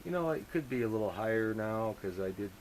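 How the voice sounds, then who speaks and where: A man talking shop as a radio hobbyist, halting and rambling as he thinks out loud. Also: fairly low pitch, expressive. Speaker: amateur radio operator. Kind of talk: casual technical radio chat. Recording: hissy, noisy radio contact recording.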